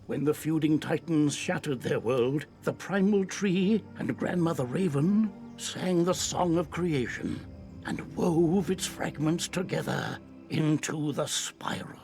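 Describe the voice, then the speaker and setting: An actor playing a wise, kindly mentor in a video game, telling lore in a measured, theatrical way. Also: male voice, on the high side, faint music behind. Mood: solemn storytelling. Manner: measured, expressive storytelling. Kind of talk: video game character acting.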